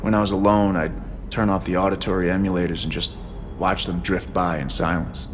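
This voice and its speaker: Quiet pilot guy man acting in a game, kinda low, measured, a little wistful, slight background noise. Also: little pitch movement, close to an everyday voice. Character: calm dedicated male shuttle pilot, quietly wistful. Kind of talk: video game dialogue acting.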